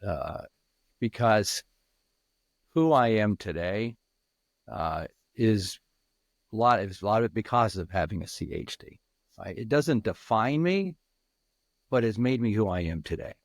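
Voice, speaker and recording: A man over fifty answering interview questions as a peer mentor, speaking earnestly and haltingly with many restarts. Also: on the low side, animated, no echo.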